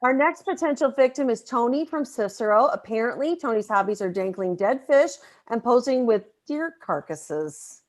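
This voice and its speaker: A woman's voice: fairly high pitch, lively intonation, normal speed, few pauses, close to an everyday voice. A woman playing an affectionate, teasing mother in a comedy table read. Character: affectionate, teasing working-class mom, loving family matriarch.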